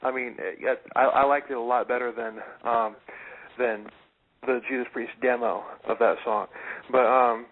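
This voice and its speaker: A man's voice: mid-range voice, fairly even intonation, unhurried, phone-quality sound. A man from Florida hosting a radio show, talking casually and hesitantly with lots of fillers. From American South, Florida. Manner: hesitant, many fillers and restarts. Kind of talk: casual radio interview chat.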